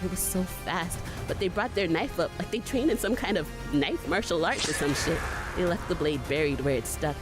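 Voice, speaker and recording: A woman's voice: on the low side, normal speed, hardly any pauses, over music, close to an everyday voice. A young woman playing a gutsy, foul-mouthed monster fighter who narrates a horror audio drama in a breathless, conversational way. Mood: tense narration. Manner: sweary, breathless, conversational first-person storytelling.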